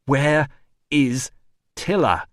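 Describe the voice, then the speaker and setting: A man's voice: medium pitch, lively intonation, moderate pace, dry recording, studio quality, close to an everyday voice. A man voicing an insistent army doctor in an audiobook, with a clipped, authoritative manner. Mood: demanding. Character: urgent, commanding male army doctor. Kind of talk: audiobook character voice.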